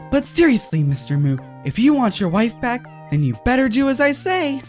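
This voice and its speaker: A woman's voice: on the high side, big pitch swings, normal speed, darkish tone, faint music behind. A woman voicing a scheming, sinister fixer who gives orders in a bossy, theatrical way in an audio horror comedy. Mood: menacing. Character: scheming, commanding, sinister fixer named Fred.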